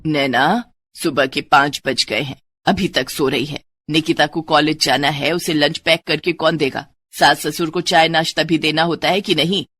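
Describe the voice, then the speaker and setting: Woman voicing a harsh, nagging cartoon mother-in-law, low-pitched. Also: fairly even intonation, normal speed, breathy edge. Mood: scolding. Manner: sharp, nagging, taunting. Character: harsh scolding middle-aged mother-in-law, bossy and taunting. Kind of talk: Hindi moral-story cartoon acting.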